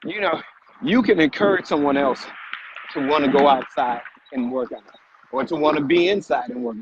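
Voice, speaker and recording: A man's voice: a little high, normal speed. A man co-hosting a live motivational vlog, speaking emphatically with repeated phrases and rhetorical questions. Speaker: vlog co-host and fitness motivator. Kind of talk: motivational talk on a live stream. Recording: muffled audio with background noise.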